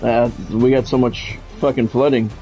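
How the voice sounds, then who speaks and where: A man's voice: mid-range voice, flat delivery, normal speed, dark tone, soft background music, poor audio. A middle-aged man doing live football game commentary mixed with casual chat, talking in a blunt, profane, conversational way. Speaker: game streamer and play-by-play commentator.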